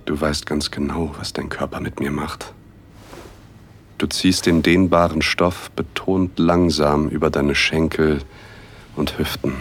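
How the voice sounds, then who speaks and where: A man narrating a first-person erotic audio drama in a hushed, intimate, unhurried way, with a husky voice. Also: rumbling low, fairly even intonation, slight background noise. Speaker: narrator and male lead.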